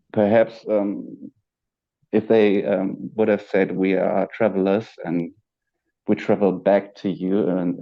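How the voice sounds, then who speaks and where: A man as an interview guest, speaking reflectively about spiritual ideas, searching for words with many pauses and restarts. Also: low-pitched, vocal fry, muffled recording. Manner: halting, searching for words, with frequent fillers and restarts. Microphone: video call.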